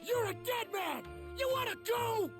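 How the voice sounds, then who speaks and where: An actor playing a brash, hot-headed young male brawler in an anime game, loud and belligerent with a gruff voice. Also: very high-pitched, animated, unhurried, music in the background. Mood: furious, challenging. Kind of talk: dramatic anime game voice acting.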